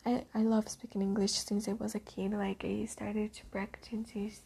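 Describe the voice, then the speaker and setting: A teenage girl from Brazil, a native Portuguese speaker with a Brazilian accent, talking hesitantly and softly in a casual spoken diary. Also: mid-range voice, flat delivery, normal speed, gravelly, husky. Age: teens. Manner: hesitant, many ums, often whispery and soft. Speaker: diarist.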